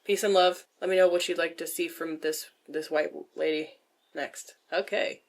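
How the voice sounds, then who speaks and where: A woman's voice: low-pitched, steady tone, moderate pace. A young adult woman with a nasal voice answers questions in a casual vlog style, candid and self-deprecating, with dry jokes and swearing. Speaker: vlogger.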